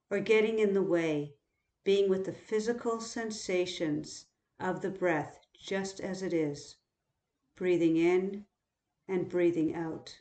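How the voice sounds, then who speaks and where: Slow-paced woman guiding meditation, roomy sound. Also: lowish voice. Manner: calm, unhurried, instructional, with long pauses. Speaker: meditation teacher. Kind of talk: guided body scan meditation.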